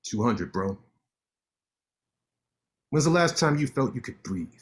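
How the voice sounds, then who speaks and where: An actor playing a cocky, sharp-tongued male lawyer in a staged play reading, teasing his friend with quick banter. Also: mid-range voice, sing-song.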